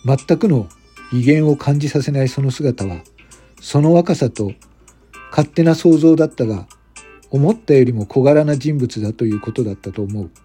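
A man narrates an amateur radio drama in a very dark, mellow voice. The sound is husky and unpolished, with music playing behind him. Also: medium pitch, lively intonation, normal speed. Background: background music.

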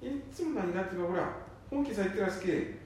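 Very high-voiced man playing a gruff son. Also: lively intonation, quick pace, a little mellow. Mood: flustered. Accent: Nanbu dialect, northeastern Japan. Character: middle-aged eldest son, gruff, embarrassed by his father. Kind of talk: amateur radio drama acting. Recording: very echoey, distant, faint noise.

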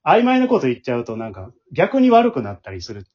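A husky-voiced man with a Kansai accent chats as a podcast host. He talks quickly with lively swings in pitch, explaining things conversationally. The audio is a little dull and lo-fi. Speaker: amateur theatre director and podcast host. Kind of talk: casual podcast chat.

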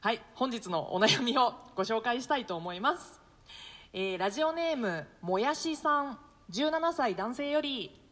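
A woman's voice: a little high, lively intonation, moderate pace, a bit bright. A woman hosting a casual radio show, chatting jokily and reading out listener mail. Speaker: host, stage actor. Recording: long reverb.

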